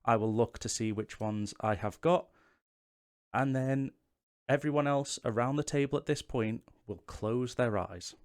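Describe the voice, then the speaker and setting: A man's voice: medium pitch, measured pace, smooth. Chatty man explaining game rules. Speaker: host of a board game tutorial channel. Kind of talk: casual how-to-play explanation to camera.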